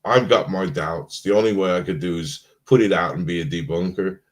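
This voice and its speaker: A man co-hosting a casual live-stream chat, drowsy from being stoned, rambling and trailing off in a mellow way. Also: low-pitched, brisk. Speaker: podcast co-host.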